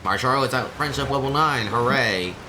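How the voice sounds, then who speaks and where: A game streamer giving casual, low-key commentary, thinking aloud. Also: moderate pace, background noise. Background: game music.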